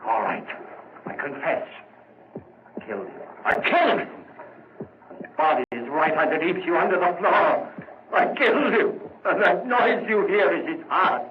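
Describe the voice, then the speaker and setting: An actor playing a nervous, guilt-ridden murderer who stammers and grows agitated, in old-time radio drama style. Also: male voice, sits high, lively intonation, normal speed. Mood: frantic. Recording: muffled old radio recording, loud noise.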